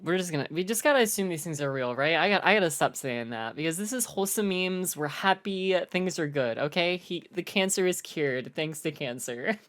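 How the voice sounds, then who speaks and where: A woman streamer chatting casually as she reacts, her voice raspy from just waking up, full of slang, fillers and casual swearing. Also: low-pitched, animated, brisk, hardly any pauses. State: raspy morning voice, just woke up.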